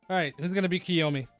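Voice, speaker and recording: A streamer reading a visual novel aloud with crude comedic ad-libs, often laughing at their own jokes. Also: medium pitch. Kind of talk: live comedic read-along with ad-libs.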